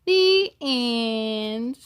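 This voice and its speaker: High-voiced woman reading a children's story. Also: drawn-out words, clear, resonant tone, no room sound. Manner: expressive, encouraging, addresses young listeners directly. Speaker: storytime host reading a children's book aloud. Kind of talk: children's read-aloud with chatty asides to listeners.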